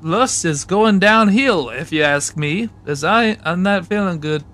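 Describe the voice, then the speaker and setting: A high, bright voice from a man plays a comic personification of lust in a video game dub. He is scatterbrained and theatrical, stumbling over his words as he rambles. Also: animated, measured pace. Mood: pleading. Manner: rambling, stammering, melodramatic wailing. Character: dramatic, scatterbrained male sin of lust in comedy dub. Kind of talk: improvised comedic game dub.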